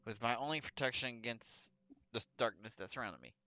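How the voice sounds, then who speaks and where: A man's voice: medium pitch, fairly quick, bright, forceful tone, dry recording. A man reading a visual novel aloud as a let's player, stumbling over words now and then, with casual asides. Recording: music behind the voice. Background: music.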